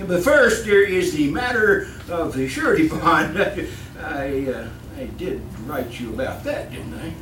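An actor playing a shifty, fussy employer with sinister secrets in old-time radio style, stammering, chuckling nervously and repeating himself. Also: male voice, on the high side, expressive, measured pace, few pauses, a bit bright, a little hiss or hum. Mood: unctuous, chuckling. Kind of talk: old-time radio acting before a live audience. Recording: distant, echoey live stage with music.

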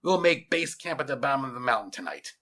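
A man's voice: mid-range voice, big pitch swings, fast, sharp tone. A man voicing a domineering, zealous army general in a story, barking orders in a declamatory, commanding way. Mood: stern, barking.

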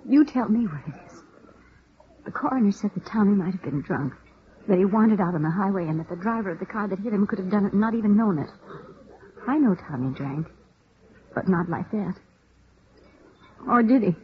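A woman's voice: medium pitch, expressive, a little fast, pauses often, natural voice. An actress with an American accent playing a grieving, bitter widow in her thirties, brooding and intense, in 1950s radio drama style. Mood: anxious, pleading. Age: 30s. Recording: muffled, hissy vintage radio recording.

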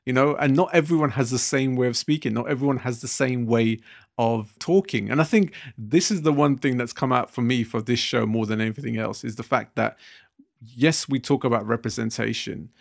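Young guy podcast cohost, gravelly voice, rambles and restarts a lot. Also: medium pitch, a little fast. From Britain. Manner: rambling, thinks aloud with many restarts and fillers. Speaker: podcast co-host. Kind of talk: casual podcast discussion.

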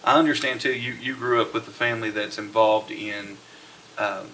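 A man's voice: on the low side, steady tone, pushed and bright, slight background noise, poor audio. A man hosting a podcast, chatting casually with guests and using many fillers. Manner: friendly, halting with fillers. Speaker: podcast host. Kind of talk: casual interview chat.

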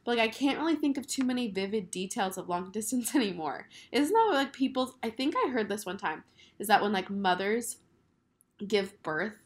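A woman in her twenties hosting her own podcast, talking casually with lots of fillers while hoarse from a cold. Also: mid-range voice, lively intonation, normal speed. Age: 20s. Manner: chatty, filler-heavy, upbeat. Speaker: podcast host. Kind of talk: casual solo podcast chat.

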